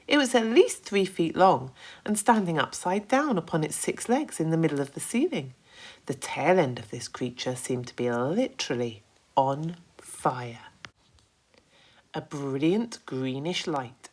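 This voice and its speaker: A woman reading a children's story aloud with expressive narration, like a primary school teacher. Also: low-pitched.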